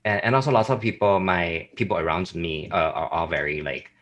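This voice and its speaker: A young man from China with a Chinese accent, chatting as a guest on a video call, lively and full of fillers and restarts. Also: deep voice, fairly quick, muffled recording. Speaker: guest, YouTuber. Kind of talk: casual chat on a video call.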